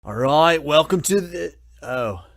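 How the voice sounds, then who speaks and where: Man acting a slacker party bro, fairly high voice, animated, slangy, whiny, irritated, visual novel game character. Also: takes it slowly, creaky, no echo, exaggerated voice. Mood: annoyed.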